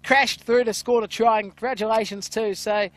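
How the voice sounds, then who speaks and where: A man giving loud, excited play-by-play commentary on a rugby league match from an outdoor ground. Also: high-pitched, normal speed, dry recording. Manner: loud voice, calls play excitedly, many "uh" fillers.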